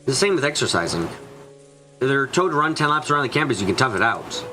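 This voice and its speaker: A man's voice: mid-range voice, big pitch swings, fast talker, pushed and bright. A man doing a dramatic reading of visual novel dialogue as a streamer, now and then stumbling over words. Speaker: let's play streamer. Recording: music behind the voice.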